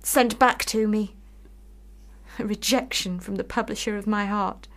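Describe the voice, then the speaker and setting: An actress in a period radio drama playing a lovelorn, sharp-witted sister, speaking with formal, deliberate, cutting irony. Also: female voice, medium pitch, steady tone. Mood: wounded, insistent.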